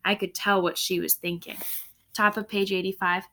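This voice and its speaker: A woman's voice: mid-range voice, little pitch movement, moderate pace, bright, forceful tone. A woman teacher reading a story aloud expressively to her class, with instructive asides that check listeners are following. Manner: instructive, checks understanding with tag questions.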